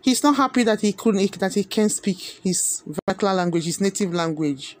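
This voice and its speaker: A man's voice: high-pitched, animated, normal speed. A man with a Nigerian accent giving earnest opinionated commentary, repeating himself with many fillers. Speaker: video commentator.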